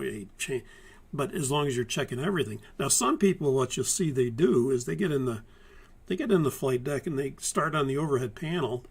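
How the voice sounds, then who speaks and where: A man teaching a live-streamed airline pilot course in a relaxed, methodical way, hoarse from a lingering virus. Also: mid-range voice. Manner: methodical, conversational, many fillers and restarts. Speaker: flight instructor. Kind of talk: live-streamed training talk.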